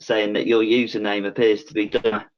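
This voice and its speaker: Man hosting a relaxed webinar Q&A. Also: on the low side, a little fast. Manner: relaxed, filler-heavy, reads out questions. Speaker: webinar host. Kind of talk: casual Q&A moderating. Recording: dull lo-fi call audio.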